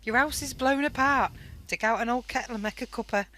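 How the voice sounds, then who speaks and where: A woman's voice: fairly high pitch, fairly quick, pushed and bright. A woman playing an anxious, wry mother with a British accent in a wartime radio play. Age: adult.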